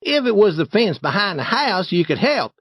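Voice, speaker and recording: A crafty young boy talks up his chore with coaxing, boastful charm, as a male storyteller puts him on. The voice sits far higher than the reader's own and swings lively up and down. The recording is dry and slightly dull. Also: normal speed, husky, no echo, full character voice. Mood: sly. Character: clever young boy painting a fence.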